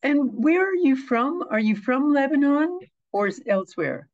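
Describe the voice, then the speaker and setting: A woman's voice: high-pitched, big pitch swings, moderate pace, darkish tone, muffled recording. A woman hosting a podcast interview, asking brief, direct questions. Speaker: podcast host.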